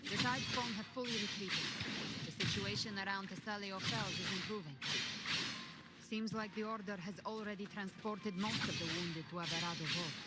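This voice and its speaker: A woman's voice: medium pitch, moderate pace, very noisy, close to an everyday voice. A woman voicing an earnest, composed female warrior in a video game, serious in manner. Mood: serious, concerned.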